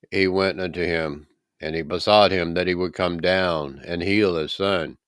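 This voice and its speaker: Man, very low smooth voice, solemn Bible narration, measured dramatic pauses, dry close sound. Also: no echo. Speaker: scripture reader. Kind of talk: solemn scripted Bible reading.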